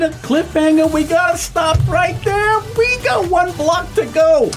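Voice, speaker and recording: A man hosting a show, casually and playfully announcing a break with excitement. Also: very high-pitched. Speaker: show host.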